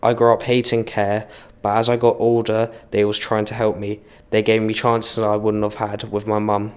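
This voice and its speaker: Smooth, low-voiced young man. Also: completely flat delivery, normal speed. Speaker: young film participant. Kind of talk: personal testimony for a short film.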